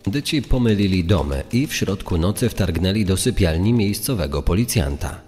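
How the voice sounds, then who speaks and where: Low-voiced male narrator with long reverb. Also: expressive, normal speed, darkish tone, faint music behind. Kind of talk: scripted dramatic storytelling.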